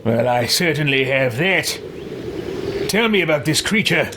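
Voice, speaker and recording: A man voicing a self-important, aloof fantasy adventurer in a role-play, with a pompous, drawn-out delivery. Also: on the high side, expressive, background noise. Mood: smug. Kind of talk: role-play over a video game.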